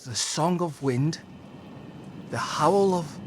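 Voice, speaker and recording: An actor playing an earnest, watchful village guide in a dark fantasy game. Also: male voice, fairly high pitch, animated, slow pace, noisy background. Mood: puzzled, wary.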